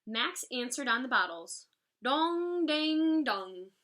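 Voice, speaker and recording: A woman's voice: high voice, slow pace. A woman in her thirties, a music teacher, reading a picture book aloud to children expressively and explaining it as she goes. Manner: expressive, instructive. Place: living room at home, indoors. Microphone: device mic about arm's length away.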